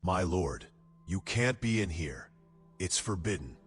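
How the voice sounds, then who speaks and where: A dutiful, cautious castle guard, voiced by a man for a fantasy audio drama. He speaks slowly and formally, with an expressive, fairly low voice over faint music. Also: husky, heavily acted. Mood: grave. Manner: courteous, measured, formal.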